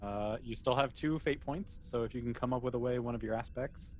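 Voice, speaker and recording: A man running a tabletop game as game master, narrating casually and haltingly as he thinks aloud. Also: mid-range voice, slight background noise.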